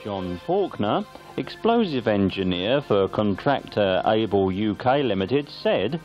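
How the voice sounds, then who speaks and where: A man reads scripted local newsreel narration with a very animated, varied delivery. His pitch sits in an average male range. The sound is slightly muffled and lo-fi, with music behind him. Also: slow pace. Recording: band-limited, dull lo-fi videotape sound.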